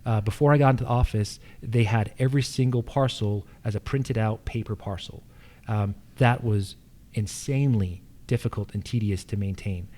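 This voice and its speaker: An American man answering as an incumbent candidate at a forum, explanatory and matter-of-fact with a halting delivery. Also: lowish voice, mellow. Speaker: political candidate, incumbent official.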